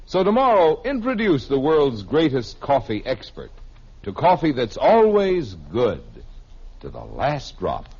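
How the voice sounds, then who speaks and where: A muffled old radio recording carries an American man's sales pitch. His voice is husky and mid-pitched, swooping dramatically in 1950s announcer fashion. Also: wildly swooping pitch, unhurried, darkish tone. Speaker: commercial announcer.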